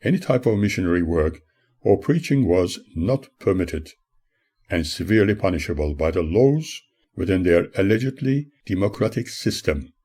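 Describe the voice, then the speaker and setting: An older man, an author, reads his own memoir as scripted audiobook narration in a formal, measured manner. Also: deep voice, lively intonation, mellow, husky.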